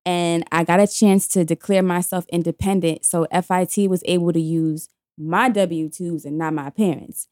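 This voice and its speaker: A woman's voice: lowish voice, fairly even intonation, normal speed, a little mellow, dry recording, clean studio sound. A woman, telling a personal story bluntly and emphatically in slangy casual chat. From Queens, New York.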